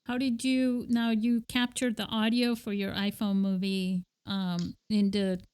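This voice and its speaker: A woman hosts a podcast, asking her guest friendly questions and short follow-ups and laughing along. Her voice is smooth and resonant at a middle pitch. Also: flat delivery, a little slow, a little mellow. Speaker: podcast host. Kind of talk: casual interview questions.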